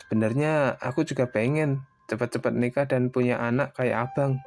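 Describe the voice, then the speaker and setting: A man playing a modest young working man, respectful, earnest and easygoing, in an animated cartoon. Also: medium pitch, moderate pace, creaky, natural voice. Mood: earnest, cheerful. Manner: casual, deferential, earnest. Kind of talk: casual animated dialogue. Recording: voice over prominent background music.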